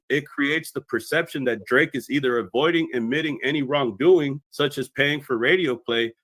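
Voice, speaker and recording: A man's voice: mid-range voice, a bit bright, no room sound. A man delivering scripted explanatory commentary in a methodical, expository way as a video commentator.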